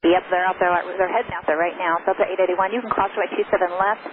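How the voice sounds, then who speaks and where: A man's voice: high voice, fairly even intonation, fast, narrow, tinny audio, crowd noise. A man working as an air traffic controller, giving clipped instructions over aviation radio. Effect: aviation radio transmission. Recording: noisy, thin air traffic radio channel.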